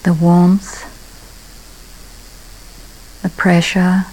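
A woman leading a guided meditation in a calm, unhurried way, leaving long pauses between soft, breathy-ending phrases. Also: fairly low pitch, rough recording. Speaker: meditation teacher.

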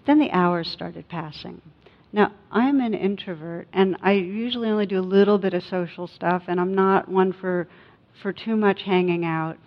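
A woman giving a meditation talk as a teacher, speaking in a reflective, conversational and gently instructive way, with personal anecdotes. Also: medium pitch, normal speed. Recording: dull, muffled lo-fi sound.

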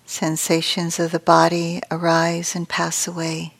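A woman meditation teacher speaks softly in a slightly low register. Her delivery is very slow and nearly flat in pitch, with long pauses. Phrases often trail off into a gentle, breathy softness as she guides the practice.

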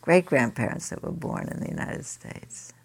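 An older woman teaching a group, asking many quick questions in a chatty way. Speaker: meditation teacher leading a group. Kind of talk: informal group discussion.

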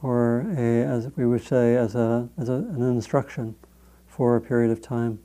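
A man guiding a meditation as a teacher, speaking in a measured, gentle way with long pauses between phrases. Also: medium pitch, steady tone. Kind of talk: guided meditation instruction.

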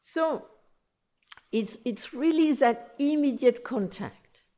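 A woman with a French accent giving a gentle, explanatory talk on meditation as a teacher. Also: high-pitched, lively intonation. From France. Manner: gentle, explanatory, repeats phrases, slow in places. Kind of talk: dharma talk. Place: meditation center.

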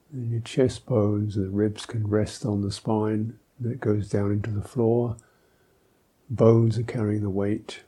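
A man with a low-pitched, very dark voice leads a guided meditation. He speaks slowly and gently, phrase by phrase, pausing thoughtfully as he instructs. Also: husky. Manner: gentle, unhurried, thoughtful with long pauses. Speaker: Buddhist monk, meditation teacher.

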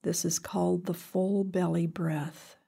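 A woman leading a guided meditation in a calm, gentle, unhurried way with long pauses, her voice soft and breathy. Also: lowish voice. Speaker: meditation teacher. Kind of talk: guided body scan meditation.

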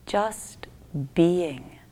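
A woman leading a guided meditation as a teacher, speaking gently in short unhurried phrases that trail off softly into near-whisper. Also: on the low side, lively intonation.

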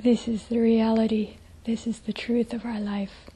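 A woman leading a guided meditation as a teacher, speaking gently in a soft, breathy, hushed voice with long pauses. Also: fairly high pitch, flat delivery.

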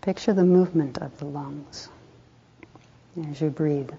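A woman meditation teacher leading a guided meditation in a soft, calm voice, unhurried, with pauses between short phrases. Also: sits low, vocal fry, husky. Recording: dull, muffled lo-fi recording.

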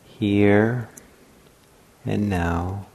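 A man leading a guided meditation as a teacher, speaking gently and unhurriedly in short phrases with long pauses. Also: fairly low pitch, fairly even intonation, vocal fry.

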